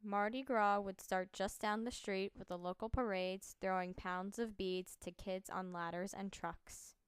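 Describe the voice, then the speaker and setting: A young woman from near New Orleans, a university student, reading her prepared personal narration in a calm, steady way. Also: mid-range voice, measured pace, clean tone, no echo. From New Orleans area, USA. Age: young adult.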